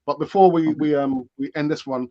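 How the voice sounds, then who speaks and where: A male show host wraps things up with eager, chatty enthusiasm and plenty of quick "yeah"s. His voice sits a little high, and the recording sounds dull and lo-fi. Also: fairly even intonation. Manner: enthusiastic, lots of short affirmations. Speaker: channel host. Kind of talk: casual chat.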